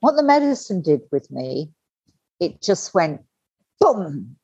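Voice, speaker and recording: A middle-aged woman telling her personal story as a podcast guest, candid and animated, acting out the voices she quotes. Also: fairly low pitch, slow pace, husky, no room sound. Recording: dull lo-fi dry sound.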